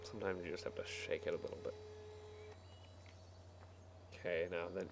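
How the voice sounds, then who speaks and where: A man casually telling a rambling story while playing a game, halting and repeating words as he goes. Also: low voice, little pitch movement, vocal fry, noisy background. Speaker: video game player telling stories.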